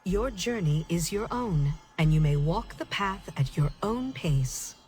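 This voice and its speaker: A woman's voice: lowish voice, animated, unhurried, creaky, darkish tone, a little hiss or hum. A woman voicing a serene celestial teacher in a video game, giving a ceremonial and reassuring speech. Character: serene, encouraging female celestial teacher addressing new aspirants.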